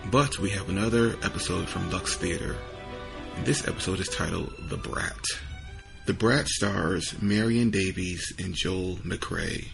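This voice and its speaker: A man's voice: low voice, measured pace, gravelly. A man hosting, reading a scripted introduction to an episode. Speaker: podcast host. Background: music.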